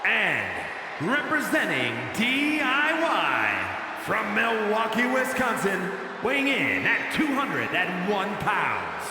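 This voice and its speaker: A man's voice: sits high, huge pitch swings, exaggerated voice. A man playing a grand wrestling ring announcer in a video game, drawing out names in showy ceremonial introductions. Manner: drawn-out, showy delivery of names. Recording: echoing arena PA, crowd and music. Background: entrance music and roaring crowd.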